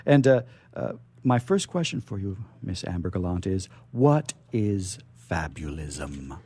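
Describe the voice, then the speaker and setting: A male radio host with a soft, mellow tone and a very lively, swooping pitch. He chats playfully and a little theatrically, often halting and doubling back on his words as he interviews. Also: slightly rough. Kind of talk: live radio interview, chatty. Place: radio station studio.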